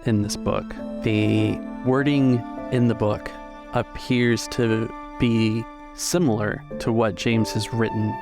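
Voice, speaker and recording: A man's voice: lowish voice, steady tone, takes it slowly. A man narrating scenes as game master of a tabletop roleplaying game. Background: music.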